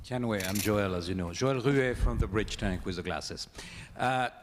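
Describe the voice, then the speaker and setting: Low-voiced man asking a question. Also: moderate pace, long reverb tail, slight background noise. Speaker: audience member asking a question. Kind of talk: unscripted audience question. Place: conference hall audience.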